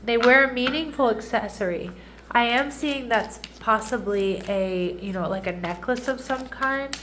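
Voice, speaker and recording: A woman's voice: on the high side, unhurried, a bit bright, a little hiss or hum. Congested, nasal-sounding woman reading tarot. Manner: chatty, checks in often with the audience. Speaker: tarot reader. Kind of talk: casual tarot reading monologue.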